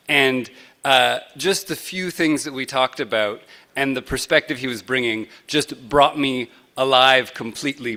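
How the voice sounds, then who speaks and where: Bright-voiced earnest male host. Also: mid-range voice. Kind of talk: event speech introducing a guest. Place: live event on a campus.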